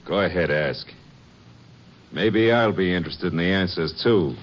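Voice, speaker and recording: A man acting a suspicious, domineering middle-aged small-town father in 1950s American radio drama, with a gruff, curt, commanding manner. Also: sits low, moderate pace, lightly acted. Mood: guarded, distrustful. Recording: dull, noisy old radio recording.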